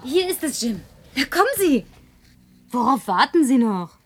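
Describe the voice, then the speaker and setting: A woman's voice: high voice, very animated, unhurried, full character voice. A playful, teasing young woman. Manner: playful, coaxing, at times whispering. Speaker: survivor in a film, dubbed. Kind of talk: film dubbing, dialogue.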